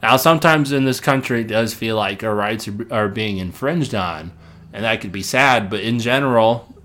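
A man's voice: fairly low pitch, normal speed, fairly bright tone. A middle-aged man from Illinois hosting a solo podcast, thinking aloud in a rambling way, restarting and repeating words, with small laughs and casual swearing. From Illinois, United States. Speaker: podcast host.

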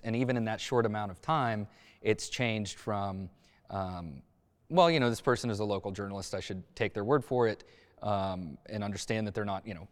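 Low-voiced man speaking in a reverberant hall. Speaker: local journalist, panelist. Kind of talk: thoughtful panel discussion.

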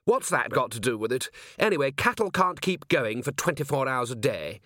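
Audiobook villain, played by a male narrator: self-important factory boss, pompous, blustering, animated pitch. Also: normal speed, dry recording, clean studio sound. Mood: defensive, blustering.

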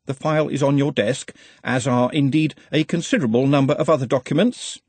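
A man's voice: medium pitch, fairly quick, darkish tone, husky, dry recording, voice pushed up. A man voicing an anxious, pedantic, long-suffering wizard's assistant in a storybook, fussy and deferential. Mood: fussy explaining.

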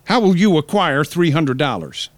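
Male actor as condescending frontier banker, formal, clipped, slightly high and animated. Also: moderate pace, no room sound. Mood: skeptical, condescending. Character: brisk, condescending 1890s small-town banker.

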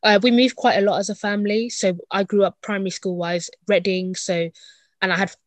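A woman's voice: mid-range voice, steady tone, fairly quick, dry recording. A woman in her twenties, born in Uganda and raised in England, talking through her upbringing in a reflective, chatty way with frequent ums. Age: 20s. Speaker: interview guest. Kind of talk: casual conversational interview.

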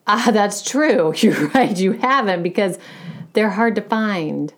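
An adult woman with a loud voice, co-hosting a casual chat in a playful, teasing way. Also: medium pitch, lively intonation, takes it slowly. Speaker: podcast co-host.

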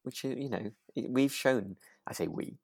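A man's voice: medium pitch, moderate pace, creaky, darkish tone, breathy edge, dry recording. A man co-hosting a podcast, thinking aloud in a casual, rambling and hesitant review of a radio drama. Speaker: podcast co-host.

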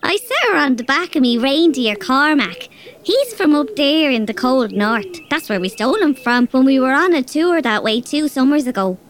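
A woman's voice: high-pitched, very animated, moderate pace. An actress with an Irish accent playing a tough, bossy robber girl who also narrates. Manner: bossy, threatening, blunt. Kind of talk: audio drama acting.

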